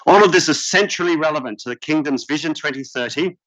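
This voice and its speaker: A man's voice: a little high, fast, fairly bright tone. Man giving a formal opening speech. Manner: fluent, formal, read-from-notes delivery. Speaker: professor and center director opening a workshop. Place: conference hall stage. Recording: slightly dull conference audio.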